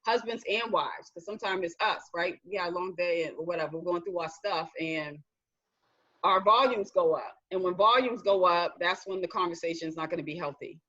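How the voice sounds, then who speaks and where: A woman's voice: lowish voice, brisk, bright, forceful tone, lo-fi sound. A woman hosting a discussion with married couples, speaking earnestly and guiding them with questions. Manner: earnest, reflective, leads with questions. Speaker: host.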